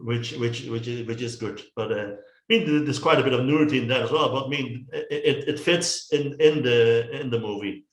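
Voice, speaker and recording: A man's voice: mid-range voice, normal speed. A middle-aged man with a Dutch accent, a guest in a casual chat on a video call, talking with many restarts and repeated words. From Netherlands. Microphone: headset on a video call. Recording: roomy echo.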